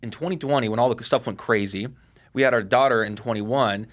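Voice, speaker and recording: Quick-talking man in his thirties. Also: mid-range voice. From Washington State, USA. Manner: rapid, conversational, frequent "you know" and "like". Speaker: podcast guest, fitness coach. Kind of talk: casual interview chat.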